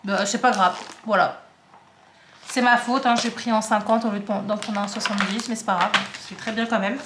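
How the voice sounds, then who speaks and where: A woman's voice: mid-range voice, fairly even intonation, moderate pace, echoey room, noisy background. A woman in her twenties from the Paris suburbs, chatting casually and rambling while she sorts her craft supplies at home. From Paris suburbs, France. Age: 20s. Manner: chatty, rambling, self-interrupting. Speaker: craft vlogger.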